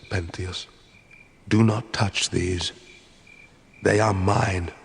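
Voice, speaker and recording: Solemn god of wine, deep slow poetic preaching, radio drama w music behind him. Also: male voice, vocal fry. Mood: calm warning. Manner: measured, incantatory, poetic delivery. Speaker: actor. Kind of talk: audio drama acting.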